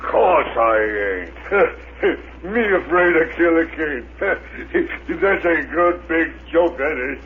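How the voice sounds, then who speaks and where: A dopey braggy rocket pilot from an old radio serial, folksy stammer, tinny n a bit hissy. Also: male voice, a little high, animated, very slow, heavily acted. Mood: nervous bravado. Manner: bumbling, stammering, folksy drawl of bad grammar. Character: dim, boastful, folksy male rocket ship pilot sidekick. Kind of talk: 1930s radio serial acting. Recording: noisy, tinny 1930s radio broadcast recording.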